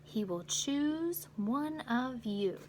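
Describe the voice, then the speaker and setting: Woman voicing a high, commanding storybook train conductor. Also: animated, extremely slow, a little hiss or hum, full character voice, low-quality recording. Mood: grand, inviting. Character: male train conductor, commanding yet inviting, in a Christmas storybook.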